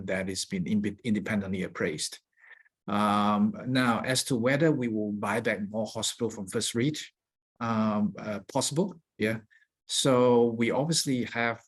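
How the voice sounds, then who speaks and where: A man speaking as a company executive, giving measured, explanatory answers on an earnings call. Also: on the low side, husky.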